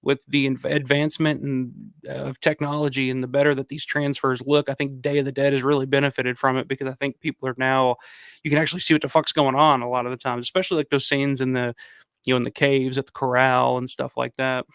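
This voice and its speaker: Livestream host, man, hoarse and gravelly, dry close mic, loose profane rambling. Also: medium pitch, little pitch movement, fast talker, fairly bright tone, no echo. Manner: rambling, profane, frequent restarts; reads out viewer comments. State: hoarse from a cold. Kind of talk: casual livestream chat.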